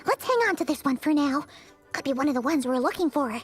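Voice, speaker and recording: A woman voicing a tiny floating female companion in a video game, curious and chatty, with a very high childlike voice and an inquisitive manner. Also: natural voice. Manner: inquisitive, quick to question. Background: game music.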